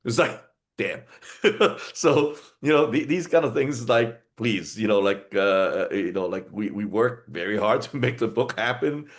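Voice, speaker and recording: A man's voice: fairly low pitch, expressive, a little slow. A man who publishes books, talking in a rambling way on a panel video call, full of restarts and fillers. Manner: rambling, many restarts and fillers, frequent laughter.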